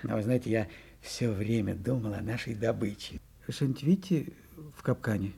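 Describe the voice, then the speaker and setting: An excitable, blustering butcher in a storybook audio play. His voice is mellow and husky, and he speaks in a fussy, emphatic, courteous townsman's way. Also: lowish voice, brisk, exaggerated voice. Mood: puzzled. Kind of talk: children's audio play acting.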